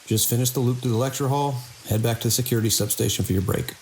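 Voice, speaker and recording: A man playing a seasoned, wry security chief in an audio drama, with a measured, world-weary, procedural delivery and a gravelly voice. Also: mid-range voice, darkish tone, natural voice. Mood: firm. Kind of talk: audio drama dialogue and log monologue. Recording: faint hiss and rain-like noise.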